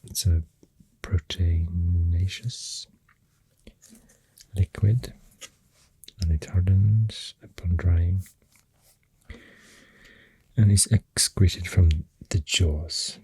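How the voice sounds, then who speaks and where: A soft-spoken ASMR creator with a Swedish accent, rambling in a breathy, husky near-whisper with hesitant pauses, close to the microphone. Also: deep voice, fairly even intonation, a little hiss or hum. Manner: slow, hesitant, pausing between phrases.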